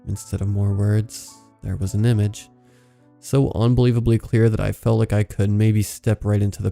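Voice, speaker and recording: A man narrating a scripted horror story in a measured, serious way. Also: lowish voice, gravelly, dark tone.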